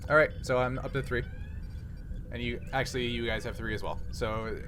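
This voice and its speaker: A man's voice: medium pitch, fairly even intonation, a little fast, background noise. A man speaking casually as a game master, walking players through rules and dice results.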